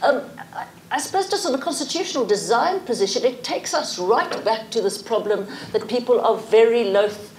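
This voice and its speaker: A woman's voice: on the high side, animated, moderate pace, a little mellow, echoey room, a little hiss or hum, poor audio. A middle-aged woman academic answering panel questions, hesitant, with frequent ums and self-corrections. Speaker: academic witness. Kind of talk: panel discussion. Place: lecture theatre.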